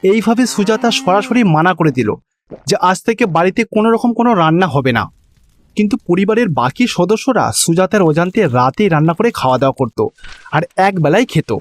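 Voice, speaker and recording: A man with a Bengali accent narrating a scripted cartoon story in an expressive storytelling manner. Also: high voice, a little fast.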